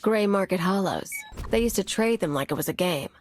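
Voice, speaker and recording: A woman's voice: medium pitch, lively intonation, brisk, natural voice. An actress playing a brash, cynical female anarchist bomber in a video game cutscene, talking in a clipped, tough, sardonic way. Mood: cocky, brisk.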